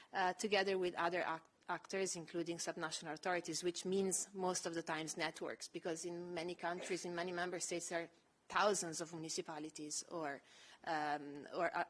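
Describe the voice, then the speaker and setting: A woman with a low voice giving a measured, explanatory panel presentation with frequent fillers. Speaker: panel speaker presenting project research.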